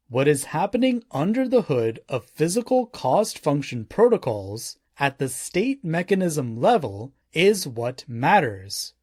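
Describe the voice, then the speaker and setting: A man narrating a nonfiction audiobook in a measured, deliberate way, with emphatic phrasing. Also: mid-range voice, darkish tone, husky, dry recording, studio quality.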